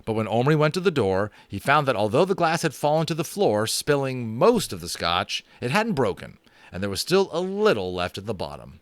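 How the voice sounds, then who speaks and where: A man narrating a children's fantasy book aloud in an expressive, dramatic style, with casual asides. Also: mid-range voice, brisk, no echo. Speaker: audiobook narrator.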